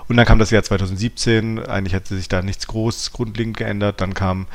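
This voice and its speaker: A man's voice: lowish voice, flat delivery, brisk. A man co-hosting a philosophy podcast in a conversational, explanatory and reflective way. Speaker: podcast co-host.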